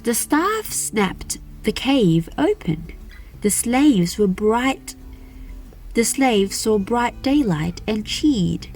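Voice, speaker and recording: A woman narrating a children's fairy tale aloud as a storyteller, in an expressive, deliberate manner. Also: fairly high pitch, soft background music. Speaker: storyteller and channel host.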